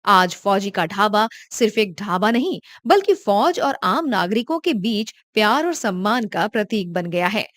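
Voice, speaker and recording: A woman's voice: medium pitch, very animated, a little slow, hardly any pauses, fairly bright tone, no room sound, studio quality. A woman reading scripted narration for a moral cartoon story as its storyteller.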